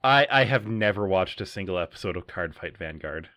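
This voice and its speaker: Lower-voiced man making wry asides. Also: lively intonation, fairly quick, pushed and bright, dry recording. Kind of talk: casual podcast chat.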